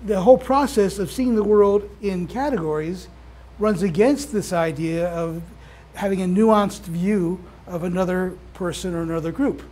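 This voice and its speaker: A soft-spoken man leading a class discussion, talking in a halting way as he thinks aloud. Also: high-pitched. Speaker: instructor. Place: classroom with air conditioning.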